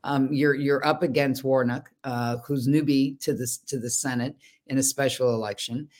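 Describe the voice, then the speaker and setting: Host asking long questions, emphatic, mid-pitch voice. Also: fairly even intonation, moderate pace. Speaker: show host interviewer. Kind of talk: political interview questions.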